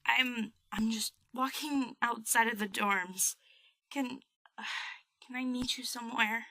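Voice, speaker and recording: A woman's voice: on the high side, steady tone, pushed and bright, no echo, exaggerated voice. A woman acting a hesitant, apologetic young college student in a naturalistic audio drama. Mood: timid, downcast. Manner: halting, apologetic, unsure. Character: hesitant young female college student, hurt but quietly resolute.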